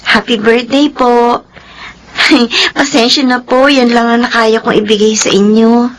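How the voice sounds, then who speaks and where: An actress in a Filipino radio drama playing a young, devoted housemaid who speaks respectfully and earnestly. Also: female voice, fairly high pitch, fairly even intonation, unhurried, fairly bright tone, a little hiss or hum, low-quality recording. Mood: humble, hesitant. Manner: deferential, pleading.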